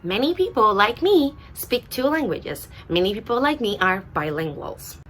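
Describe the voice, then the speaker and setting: A woman with a Puerto Rican accent reads a children's picture book aloud in a simple, deliberate way for young listeners. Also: mid-range voice, lively intonation, fairly bright tone. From Puerto Rico. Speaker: storyteller reading aloud to children.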